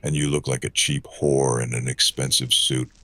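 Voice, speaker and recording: Very deep, monotone, grim noir avenger. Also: male voice, normal speed, soft background music, exaggerated voice. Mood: cold, terse. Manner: terse, menacing, accusing. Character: grim, battered ex-wrestler turned noir avenger. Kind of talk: hardboiled noir audio drama acting.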